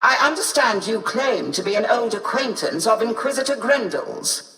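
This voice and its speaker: A woman's voice: on the high side, expressive, moderate pace, a bit bright. An actress voicing an imperious, impatient and suspicious female authority figure in a video game, haughty, curt and formal. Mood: cool, suspicious. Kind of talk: dramatic video game character acting. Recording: echoey hall, distant from mic.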